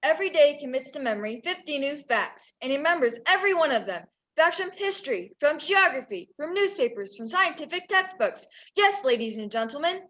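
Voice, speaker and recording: A woman's voice: high-pitched, big pitch swings, a little fast, few pauses, fairly bright tone. A woman playing a grandiose, declamatory music-hall master of ceremonies in a radio play. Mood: grand, showy. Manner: declamatory, showy.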